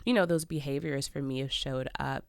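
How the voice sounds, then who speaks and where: A woman's voice: rumbling low, steady tone, a little fast, clear, resonant tone, no room sound, studio quality. A woman from Chicago, a podcast guest speaking openly and reflectively, often laughing lightly through difficult subjects. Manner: reflective, open, laughs off hard topics. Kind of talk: candid personal interview talk.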